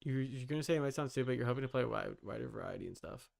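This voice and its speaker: A man's voice: medium pitch, racing, vocal fry, darkish tone. A man livestreaming a game, chatting informally and teasingly with his viewers and swearing casually. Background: background music.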